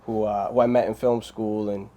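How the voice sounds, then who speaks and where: A man, a filmmaker guest in a casual interview, speaking in an animated, rambling way with frequent restarts. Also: lowish voice, moderate pace.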